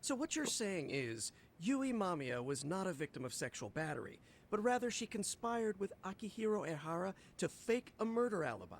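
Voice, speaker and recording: A game prosecutor whose fairly high voice rises and falls with lively, sarcastic emphasis. He talks down to the defense with haughty condescension. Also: moderate pace, few pauses, a little hiss or hum. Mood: condescending.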